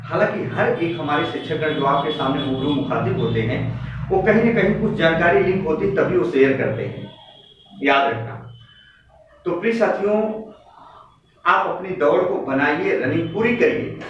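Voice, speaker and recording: A man's voice: on the high side, a bit bright. A man speaking as a coaching teacher, giving students an exam update in an emphatic, exhorting way with repeated phrases. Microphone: distant microphone. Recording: very echoey room, distant muffled mic, faint noise.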